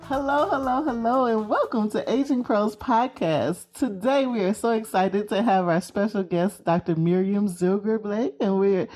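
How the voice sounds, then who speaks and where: A woman's voice: medium pitch, normal speed, hardly any pauses, darkish tone, faint music behind. A woman hosting a podcast, friendly and affirming, laughing often as she talks with her guest. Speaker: podcast host. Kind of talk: podcast interview.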